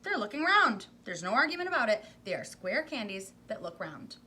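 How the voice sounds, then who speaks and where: A woman voicing an eccentric, excited man who owns a candy factory, calling out in a high, loud voice. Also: normal speed, slightly rough, exaggerated voice. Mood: gleeful.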